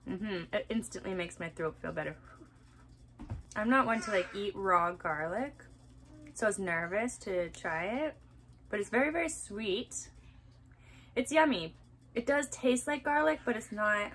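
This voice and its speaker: Bright-voiced woman vlogging, noisy recording. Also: medium pitch, a little slow, pauses often. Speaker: family vlogger, mother. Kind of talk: casual chat to camera about daily chores. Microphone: camera or phone at arm's length. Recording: handheld recording with clear background noise.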